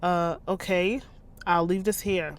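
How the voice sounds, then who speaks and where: A woman's voice: on the low side, steady tone, slow pace. A woman reading the lines of a nervous, kindly young man from a thriller novel in a mild, hesitant way. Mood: shy.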